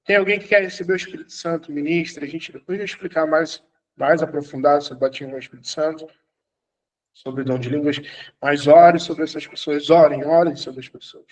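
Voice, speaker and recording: High-voiced man preaching to a class. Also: steady tone, a little fast, lo-fi sound. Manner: exhorting, repeats commands, laughs while telling stories. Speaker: apostle teaching a class. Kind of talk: teaching an online church class.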